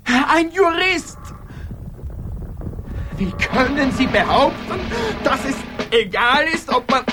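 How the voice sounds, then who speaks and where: A man's voice: very high voice, lively intonation, takes it slowly, loud music behind the voice, exaggerated voice. An actor in a radio drama playing an anxious, passionate ordinary man with an agitated, insistent, pleading manner. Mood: agitated, indignant.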